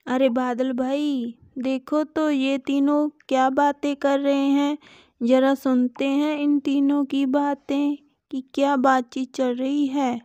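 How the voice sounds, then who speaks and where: A woman voicing a gentle, easily hurt rain cloud in a children's cartoon, with a plaintive, simple manner. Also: high-pitched, flat delivery, takes it slowly, lo-fi sound. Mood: curious.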